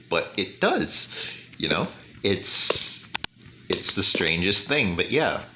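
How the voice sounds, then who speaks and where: A man with a deep bass voice talking slowly and deliberately over gameplay, with many fillers. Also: wildly swooping pitch, noisy background. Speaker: gaming YouTuber. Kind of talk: casual commentary over gameplay.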